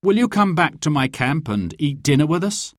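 A man's voice: on the high side, sing-song, moderate pace, no room sound, clean studio sound, pitched above the performer's own voice. A man voicing a brave, earnest young outlaw hero in a storybook adventure. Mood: grateful, curious.

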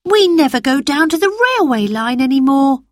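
A woman's voice: sits high, big pitch swings, moderate pace, no room sound, clean studio sound, voice pushed up. A woman voicing a small, clumsy, gloomy little girl in a storybook reading, with a sulky, plaintive manner. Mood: glum.

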